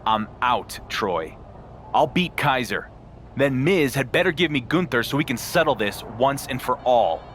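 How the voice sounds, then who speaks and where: A defiant champion wrestler in a game cutscene. His voice is mid-pitched, blunt and dryly sarcastic, with noticeable noise behind it. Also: animated, a little slow, fairly bright tone. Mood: impatient, irritated. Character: defiant, impatient male champion pro wrestler. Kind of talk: video game cutscene acting.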